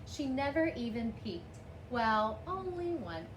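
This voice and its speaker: A woman's voice: on the high side, big pitch swings, slow, echoey room. A woman reading a picture book aloud expressively, lifting her voice for the children's lines. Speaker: storybook reader. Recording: faint background noise.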